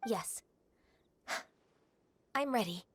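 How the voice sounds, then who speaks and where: A woman voicing a gentle, dutiful young half-human, half-immortal woman in a video game, speaking softly with polite, earnest, measured delivery. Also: a little high, lively intonation, a little hiss or hum. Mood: apologetic, hesitant.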